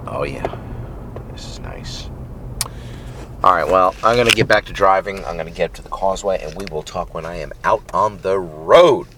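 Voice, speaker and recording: A hoarse man with a nasal, stuffy voice rambles casually from inside a car, full of ums and uhs. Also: low voice, moderate pace. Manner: rambling, chatty, with many fillers. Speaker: running podcast host. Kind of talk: casual monologue. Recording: loud car road noise.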